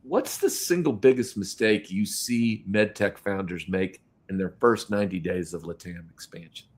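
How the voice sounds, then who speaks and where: A man with a fairly low voice hosts a business podcast. He talks in a loose, chatty way and often stops and restarts mid-sentence, filling the gaps with ums and uhs. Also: breathy edge. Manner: halting, many fillers and restarts. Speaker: podcast host. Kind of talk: casual interview chat.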